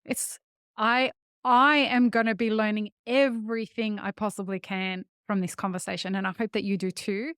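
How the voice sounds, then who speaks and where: A woman hosting a podcast, talking with eager energy and warmly calling her listeners folks. Her voice sits at a medium pitch. The recording is dry and close, with no room sound. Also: steady tone, moderate pace, clear, resonant tone, dry recording. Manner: enthusiastic, addresses listeners as folks. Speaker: podcast host.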